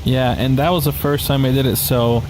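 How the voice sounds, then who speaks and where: A man's voice: mid-range voice, moderate pace, poor audio. A man giving casual, hesitant play-along flight sim commentary, thinking aloud. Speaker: flight sim streamer and pilot. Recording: steady engine and cockpit noise behind. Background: flight simulator aircraft noise, radio chatter.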